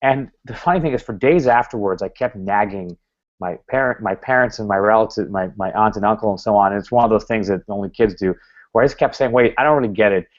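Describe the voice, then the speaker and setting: Man, guest speaker, low-ish voice, rambling delivery full of restarts, dull recording. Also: fast talker. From Canada. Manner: rambling, many restarts and fillers. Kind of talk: talk to a club.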